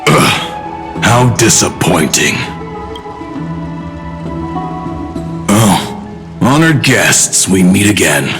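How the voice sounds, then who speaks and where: A big video game officer with a very low voice, pompous and fiercely loyal to the ruler. The speech is formal and dismissive, with loud music underneath. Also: male voice, big pitch swings, slow, heavily acted. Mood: courteous, pompous. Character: pompous, loyal military officer, big strong man, easily flustered. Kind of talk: video game character acting.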